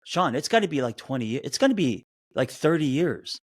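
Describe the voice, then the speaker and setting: A man in his fifties co-hosting a podcast, chatting casually in an animated, rambling way with many restarts. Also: a little high, brisk, dry recording, studio quality. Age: 50s. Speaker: podcast co-host. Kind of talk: casual chat.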